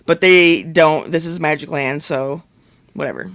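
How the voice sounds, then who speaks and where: A man's voice: a little high, unhurried, fairly bright tone, dry recording. A middle-aged man co-hosting a podcast in casual, conversational chat. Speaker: podcast co-host.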